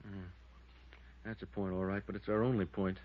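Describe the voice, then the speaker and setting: An actor playing a plain-spoken, cautious deputy sheriff in a 1950s radio drama, folksy and matter-of-fact. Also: male voice, lowish voice, little pitch movement, brisk, lightly acted. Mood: cautious, dry. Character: plain-spoken, cautious small-town male deputy sheriff, dryly teasing. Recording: muffled vintage radio broadcast.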